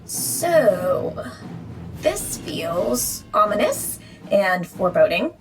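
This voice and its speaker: A woman's voice: mid-range voice, sing-song, extremely slow, over music, rough recording. An actress playing a flippant young female superhero who answers sarcastically. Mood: sarcastic.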